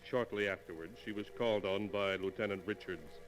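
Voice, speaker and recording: An actor playing a solemn, ominous narrator, measured and dramatic, in a 1940s radio horror series. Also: male voice, lowish voice, lightly acted, low-quality recording. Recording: old golden age radio recording. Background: prominent music.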